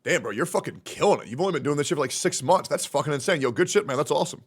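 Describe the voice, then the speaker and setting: A man in his thirties hosting a casual group chat, hyped and profane in fast banter. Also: a little high, lively intonation. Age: 30s. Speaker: podcast host.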